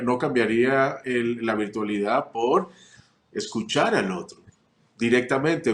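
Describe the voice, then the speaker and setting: Reflective man in an echoey room. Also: mid-range voice. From Chile. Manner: reflective, many fillers and restarts. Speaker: family constellations teacher. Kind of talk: relaxed interview conversation.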